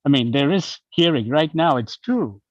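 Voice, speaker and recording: A man's voice: on the high side, animated, normal speed, no room sound, lo-fi sound. A man in a casual discussion over a video call, making his point emphatically with restarts. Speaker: discussion participant.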